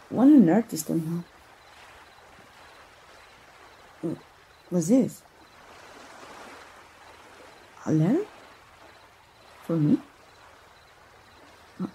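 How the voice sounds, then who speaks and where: A woman acting as a tender young princess in a romantic ASMR roleplay. Her voice is very breathy and soft, with a dark, mellow tone. She speaks slowly and haltingly, full of awe and love. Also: mid-range voice, exaggerated voice. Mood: astonished, hesitant. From Mexico. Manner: halting, emotive, with gasps and sighs. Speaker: voice actor.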